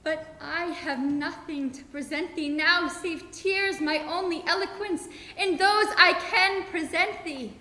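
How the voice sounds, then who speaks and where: A woman's voice: very high-pitched, a little slow, hardly any pauses, sharp tone, voice pushed up, heavily acted. A young woman performing a Greek princess who begs her father for her life in a declamatory stage monologue. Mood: solemn pleading. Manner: declamatory, pleading. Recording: echoey reverberant hall.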